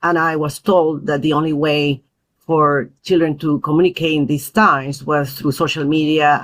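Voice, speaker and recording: A woman who grew up in Peru, a mother and parent advocate, speaking as a podcast guest in an earnest, thoughtful way with frequent pauses and fillers. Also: low voice, poor audio. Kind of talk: podcast interview.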